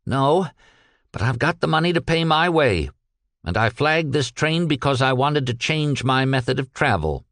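A man acts out a weary but determined errand man from a storybook. He speaks earnestly and respectfully, pressing his point with polite insistence. The pitch moves in an animated way. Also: moderate pace, dry recording, clean studio sound. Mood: firm, defiant. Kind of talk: audiobook character dialogue.

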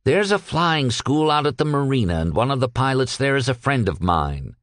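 A man acts out a weary but determined errand man from a storybook. He speaks earnestly and respectfully, pressing his point with polite insistence. The pitch moves in an animated way. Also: moderate pace, no room sound, studio quality. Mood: earnest pleading. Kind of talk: audiobook character dialogue.

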